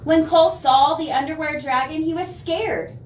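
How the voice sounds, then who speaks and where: A woman reading picture books aloud to young children, with expressive dramatic emphasis and chatty asides to her listeners. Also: sits high, normal speed. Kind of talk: animated storytime read-aloud for children. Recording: roomy, distant mic, faint noise.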